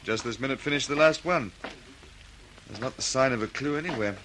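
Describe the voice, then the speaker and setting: An actor playing a respectful, matter-of-fact police subordinate in an old radio detective drama. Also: male voice, mid-range voice, fairly quick, a bit bright, slight background noise, close to an everyday voice. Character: respectful, plain-spoken male police subordinate.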